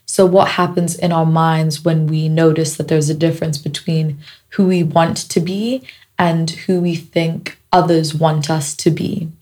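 A woman with a slightly low voice hosts her solo podcast, seated at a microphone. Her voice is raspy and dry right now. She talks in a chatty, reflective way, with small asides and jokes. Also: little pitch movement, a little slow, warm tone. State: raspy, dry voice after a week of celebrating. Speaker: podcast host. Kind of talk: casual solo podcast talk.

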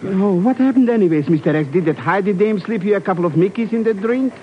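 High-pitched slangy radio sidekick played by a man. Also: fast talker, lightly acted. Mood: concerned, urgent. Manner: slangy, excitable, self-congratulating. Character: eager, chatty, cocky male sidekick with slangy talk. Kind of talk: 1950s radio comic relief acting. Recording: muffled, noisy 1950s radio recording.

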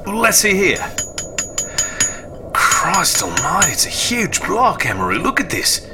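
An actor playing a plain-spoken, excitable Australian mining engineer in a radio drama, blunt and full of slang. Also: male voice, high voice, measured pace, very noisy, exaggerated voice. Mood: startled, baffled.

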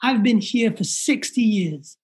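A man's voice: high-pitched, lively intonation, a little slow, darkish tone. Man putting on an old Jamaican woman. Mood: heartfelt. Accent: Jamaican, imitated.

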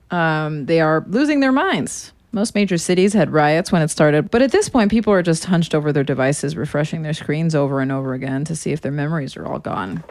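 A woman's voice: on the low side, a little mellow, dry recording. An American woman in her thirties playing a sardonic woman narrating a confessional audio diary, dryly sarcastic and casually profane. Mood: mock sincere. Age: 30s.

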